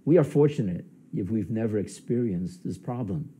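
An older man preaching a sermon in a plain studio, explaining history in a measured, teaching way. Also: a little hiss or hum. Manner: measured, explanatory preaching with lively pitch rises. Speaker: pastor. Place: studio with plain light backdrop, indoors.

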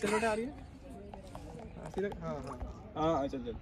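A man's voice: high-pitched, animated, moderate pace, gravelly, recorded from a distance, very noisy. A man bantering with friends on a trip, teasing and giving orders. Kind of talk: casual banter. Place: outdoors.

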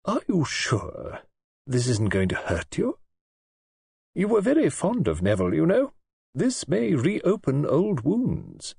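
A man's voice: fairly high pitch, wildly swooping pitch, slow pace, gravelly, a little mellow, breathy edge, no echo, studio quality, pitched above the performer's own voice, heavily acted. A man reading an audiobook, voicing a robust, imperious elderly aristocratic woman who talks in a forthright, snappish way. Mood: concerned. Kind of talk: audiobook character voice.

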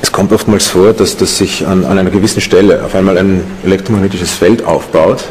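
A man explaining something off the cuff in an interview, haltingly searching for words. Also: sits low, fairly even intonation, darkish tone. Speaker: interviewee, ghost hunter.

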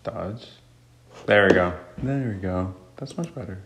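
A man in casual gaming chat as co-player, making short, low-key remarks. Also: unhurried. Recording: echoey room, faint noise.

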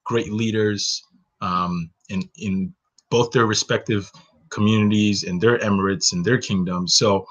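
A man's voice: lowish voice, steady tone, unhurried, a bit bright. A man in his thirties, raised in Northern Nigeria, hosting a webinar from a small bedroom on his webcam, talking earnestly about his own story with many "you know"s. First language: Hausa. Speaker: webinar host. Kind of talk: reflective solo webinar talk. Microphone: webcam, close framing. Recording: dull lo-fi webcam sound.

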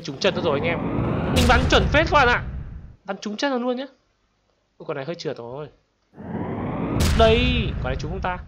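High-voiced man doing excited game commentary. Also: heavy background noise. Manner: exclamatory, addresses viewers as friends. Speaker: gaming video host. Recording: loud game gunfire and clicks behind. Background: game gunshots, explosions and clicks.

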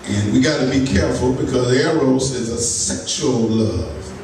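A man's voice: mid-range voice, moderate pace, a little mellow. A man minister, preaching a folksy sermon in a church sanctuary with laughter and asides to the congregation. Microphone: pulpit mic, filmed by a far camera. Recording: echoey church from far off, noisy.

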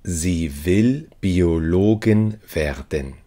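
A man with a low voice reads lesson phrases at a very slow, deliberate pace. He says each short sentence several times, giving the learner time to repeat it. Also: warm tone. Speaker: language teacher.

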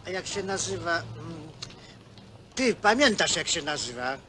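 A playful working man, with a high, forceful voice. He drawls slowly and colloquially, teasing as he goes, over a faintly noisy film track. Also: animated. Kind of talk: naturalistic film dialogue.

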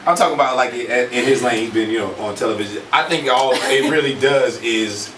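A man's voice: medium pitch, a little fast, far from the mic, over a noisy crowd. A man hosting a comedy radio show, chatting and laughing often. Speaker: radio host. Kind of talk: casual radio chat. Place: radio studio. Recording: roomy studio audio from off-mic, with noise.

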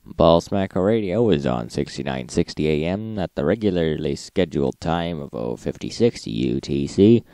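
A man's voice: very deep voice, expressive, moderate pace, creaky, hardly any pauses, mellow, no room sound, studio quality. A man hosting an informal radio recap, narrating logs in a matter-of-fact manner.

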